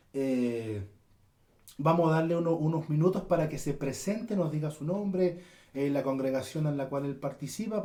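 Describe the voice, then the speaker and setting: A man's voice: mid-range voice, dark tone. A man hosting a church interview, speaking with many fillers and restarts. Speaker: interview host. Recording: echoey room.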